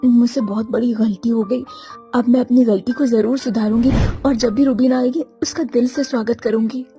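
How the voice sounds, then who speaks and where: A jealous young village wife, voiced by a woman in a cartoon moral story. The voice is high and mellow, the manner pointed and sardonic. Music sits faintly behind. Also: fairly even intonation, moderate pace, natural voice. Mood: regretful.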